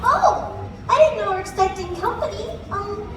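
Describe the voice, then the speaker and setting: Woman as a squeaky-high, bubbly Christmas elf. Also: moderate pace, over loud music. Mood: bubbly, excited. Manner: excitable, playful, addressing children. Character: bubbly, eager female Christmas elf assistant. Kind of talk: live children's stage show. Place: live indoor attraction with audience. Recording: very echoey room, distant, noisy.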